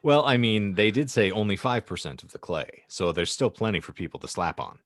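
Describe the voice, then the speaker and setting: A man's voice: fairly low pitch, sing-song, a little fast, no room sound. A man co-hosting a comedy podcast, chatting in a wordy, sardonic way. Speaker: podcast co-host. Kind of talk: comedic panel chat. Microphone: remote call microphone.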